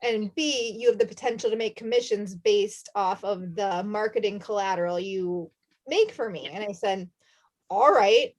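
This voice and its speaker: A woman's voice: medium pitch, moderate pace. A woman in her thirties, a podcast host chatting casually and telling stories. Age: 30s. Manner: chatty, storytelling, laughs often. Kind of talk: casual chat.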